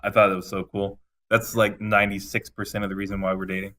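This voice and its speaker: Laid-back, low-voiced male podcast co-host. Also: level tone, quick pace, a bit bright. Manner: laid-back, laughs easily, teasing. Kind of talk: casual chat.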